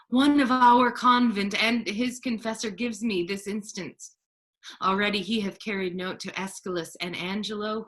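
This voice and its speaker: Woman playing a commanding friar, bright forceful voice. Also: medium pitch, lightly acted. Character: scheming duke disguised as a holy friar, male, authoritative. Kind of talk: staged reading of Shakespearean verse.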